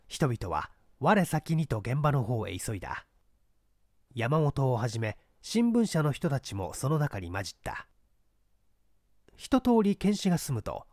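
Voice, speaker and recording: A man reading literary mystery fiction aloud in a measured, theatrical storytelling manner. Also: mid-range voice, a little mellow, dry recording, clean studio sound. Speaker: audiobook reader and small-theatre actor. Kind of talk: dramatized literary mystery narration.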